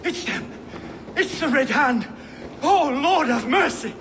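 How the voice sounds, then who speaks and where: Very high-voiced frightened priest. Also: male voice, animated, slow pace, fairly bright tone, big-room sound, a little hiss or hum, exaggerated voice. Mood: horrified. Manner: fearful, pleading, exclamatory. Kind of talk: video game dramatic acting.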